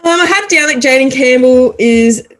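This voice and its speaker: Co-host giggly young Australian woman, high-ish voice. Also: unhurried, faint music behind. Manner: giggly, chatty, frequent laughter, high squeals when mimicking. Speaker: podcast co-host. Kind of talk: casual chat about rugby league. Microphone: video call.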